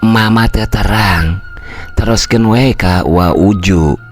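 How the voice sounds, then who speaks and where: An older man doing a gentle village mother. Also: on the low side, takes it slowly, soft background music, voice pushed up.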